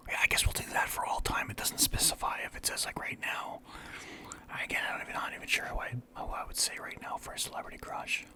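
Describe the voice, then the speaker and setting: A man's voice: fast, pushed and bright. A young man from Canada whispering through a casual, rambling list of favorites as a vlogger. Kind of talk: whispered ASMR list chat.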